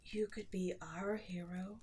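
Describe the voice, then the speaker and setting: Woman acting as a demon queen, slow theatrical delivery, seductive, imperious, menacing, breathy whispered touches, audio roleplay monologue. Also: fairly low pitch, steady tone, a little mellow. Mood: seductive, coaxing.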